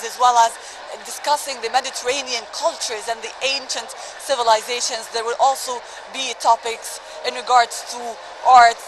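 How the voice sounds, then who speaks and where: Woman doing a live news report outside, fluent, lots of noise behind her. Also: on the high side. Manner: fluent, announcing. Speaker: field reporter. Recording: noisy outdoor live feed.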